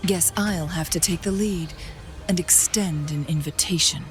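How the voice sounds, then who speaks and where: A woman's voice: on the low side, measured pace, soft background music, lightly acted. An actress playing a composed, coolly polite woman in a game cutscene. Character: poised, cool adult woman strategist. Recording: game score underneath.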